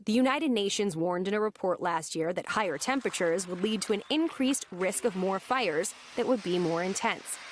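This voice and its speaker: A female news correspondent narrating a scripted report in a crisp manner. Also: mid-range voice, slight background noise.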